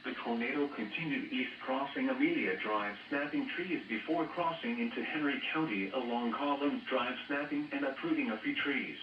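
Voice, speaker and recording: A man's voice: mid-range voice, moderate pace, hardly any pauses, reverberant, distant mic. An American man's synthetic voice reads a scripted weather bulletin over weather radio in a mechanical announcer's delivery. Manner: flat, mechanical synthetic delivery, reading out codes and figures. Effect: weather radio broadcast. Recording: muffled, noisy weather radio picked up off a speaker in a room.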